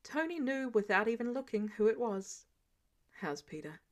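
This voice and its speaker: A woman narrates fiction in a slightly high voice. She reads from a script as an audiobook narrator would, with expression, and she acts out the characters' dialogue as she goes. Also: moderate pace.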